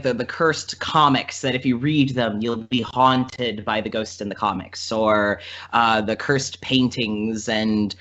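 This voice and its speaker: A man chatting casually as a podcast guest, telling stories in a rambling, animated way and often interrupting himself. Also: medium pitch. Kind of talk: casual chat, storytelling. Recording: dull lo-fi podcast audio.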